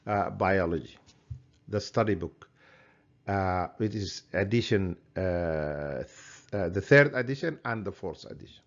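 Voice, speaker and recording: A man's voice: deep voice, animated, measured pace, fairly bright tone, muffled recording. Hesitant Sudanese-accented male biology teacher. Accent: Sudanese English with an American lean. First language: Arabic. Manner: hesitant, many uh and um fillers, restarts. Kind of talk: recorded lecture introduction.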